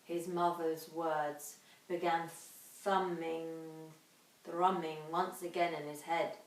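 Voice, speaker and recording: A woman's voice: sits low, flat delivery. A woman, an infant teacher reading and talking to young children in a dramatic, emphatic way. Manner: dramatic, emphatic, drawn-out sound words. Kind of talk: expressive children's storybook reading and instruction. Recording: echoey room, distant microphone.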